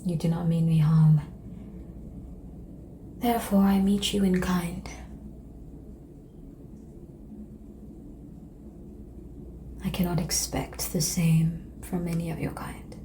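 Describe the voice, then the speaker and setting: Slow, whispery female vampire, acted by a woman. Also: on the low side, steady tone, close to an everyday voice. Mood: amused, reassuring. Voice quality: soft, breathy, often whispered. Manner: slow, measured, formal phrasing with long pauses. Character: calm, seductive, ancient female vampire, courteous and philosophical. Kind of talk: ASMR roleplay. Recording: clear background noise and ambience.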